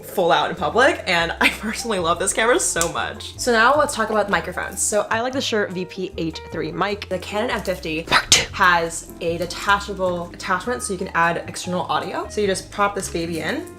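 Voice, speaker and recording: A woman's voice: fairly low pitch, animated, a little fast, few pauses, bright, forceful tone, soft background music. A young woman giving a casual vlog tutorial to camera, chatty, slangy and emphatic. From Indonesia. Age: young adult. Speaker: vlogger and content creator.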